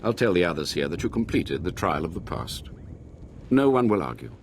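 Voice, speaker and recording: An actor playing an earnest, anxious scholar of a secret order in a video game, speaking expressively. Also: male voice, low-pitched, big pitch swings, fairly quick, creaky, slight background noise, exaggerated voice. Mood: relieved, conspiratorial.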